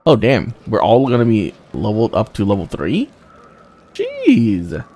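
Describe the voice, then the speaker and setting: A man's voice: fairly low pitch, wildly swooping pitch, unhurried, dark tone. A man giving casual playthrough commentary on a game, muttering to himself as he decides. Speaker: gaming video host. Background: game music.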